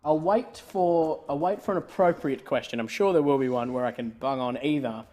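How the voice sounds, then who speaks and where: A man's voice: a little high, moderate pace, soft background music. A man born in Scotland hosting a live online quiz, joking with his co-host and laughing often. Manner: jokey, laughing often, trailing off quietly.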